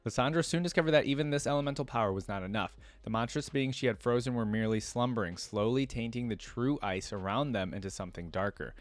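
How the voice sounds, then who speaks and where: Guy doing casual lore reaction stuff, reads bits out and thinks aloud, mid pitch, dry close sound. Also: fast talker, hardly any pauses, dry recording. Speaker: host of a video game lore reaction series. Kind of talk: casual reaction commentary mixed with reading aloud.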